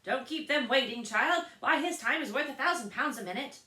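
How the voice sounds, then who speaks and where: A woman's voice: high voice, expressive, brisk, reverberant, pitched above the performer's own voice. A woman voicing an angry, officious male train guard who barks his orders sharply. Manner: loud, sharp, scolding.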